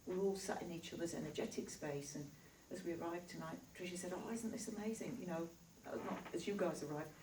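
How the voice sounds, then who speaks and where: A woman's voice: on the low side, fast, few pauses, darkish tone, far from the mic, slight background noise. Yorkshire woman speaking in an echoey hall. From Yorkshire, England. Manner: chatty, reassuring, laughs easily. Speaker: spiritual healer. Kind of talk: live stage talk to an audience. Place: hall with a live audience. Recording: echoey hall recorded from afar.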